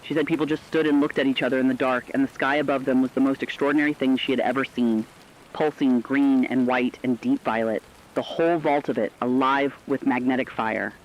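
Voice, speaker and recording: A man playing a solemn commentator recounting a catastrophe in scripted radio narration, deliberate, literary and emphatic. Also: mid-range voice, steady tone, highs cut off, natural voice.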